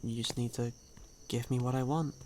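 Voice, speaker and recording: A man playing a suave, sly, hungry male vampire in an ASMR audio roleplay, speaking in a teasing, drawn-out manner. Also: mid-range voice, fairly even intonation, darkish tone, a little hiss or hum, natural voice. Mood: hungry, seductive. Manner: slow, drawn-out, teasing, with breathy chuckles.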